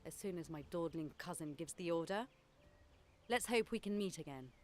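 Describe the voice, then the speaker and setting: A woman's voice: on the low side, animated, normal speed, a little mellow, natural voice. A woman playing a blunt noblewoman envoy in a fantasy game, brusque and sardonic. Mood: brusque, irritated. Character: blunt, gruff noblewoman envoy, impatient with formalities.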